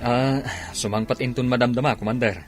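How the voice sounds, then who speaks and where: A man's voice: mid-range voice, fairly even intonation, a little fast, faint music behind, close to an everyday voice. A man acting a dutiful soldier reporting to his superior in an Ilocano radio drama. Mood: deferential.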